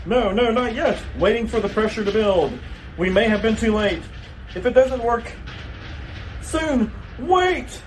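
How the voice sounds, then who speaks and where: A man's voice: sits high, animated, measured pace, slight background noise. Man hamming up an overdramatic doomed radio father. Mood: frantic, triumphant. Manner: overdramatic, exclamatory. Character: grave, urgent doomed alien father, old radio melodrama. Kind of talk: 1940s radio acting.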